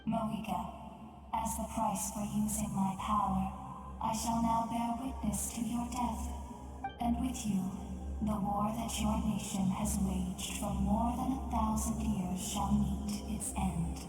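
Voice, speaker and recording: Echoing, solemn goddess of death. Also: female voice, mid-range voice, steady tone, slow. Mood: cold, solemn. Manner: stately, formal. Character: solemn, imperious female death deity, ancient and aloof. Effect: heavy reverb. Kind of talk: video game voice acting. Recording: very echoey, distant, under music.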